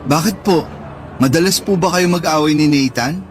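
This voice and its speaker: A man's voice: on the high side, normal speed, soft background music, natural voice. A man acting an affectionate, pleading son who speaks respectfully to his mother in a radio drama. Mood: curious, uneasy.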